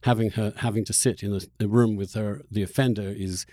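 Dry-sounding man interviewing, probing and halting. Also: fairly low pitch, steady tone, dark tone, dry recording. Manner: probing, halting, repeats words while thinking. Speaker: podcast host, interviewer. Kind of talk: conversational interview.

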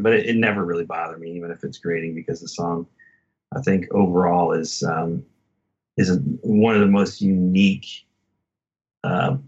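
Low-voiced man talking music, slightly muffled. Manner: halting, circling back to rephrase. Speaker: podcast guest. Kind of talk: casual album discussion.

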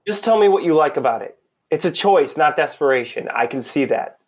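A man's voice: fairly high pitch, expressive, fairly quick. A man playing a hapless young undercover cop, blunt, informal and sullen, in a two-person audio mystery play. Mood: probing. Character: hapless, disgruntled young male undercover cop, just fired.

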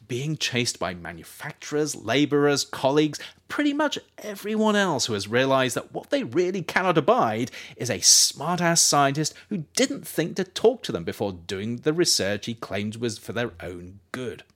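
A man reading scripted nonfiction narration as an author would, in a measured way with expressive emphasis on key phrases. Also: mid-range voice. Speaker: author reading their own book, narrator.

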